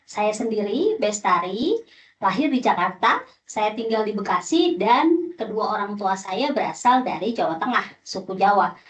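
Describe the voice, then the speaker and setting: A young woman giving a formal class presentation, pausing with small hesitations. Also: medium pitch, very animated. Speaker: student presenter. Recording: echoey room, dull lo-fi sound.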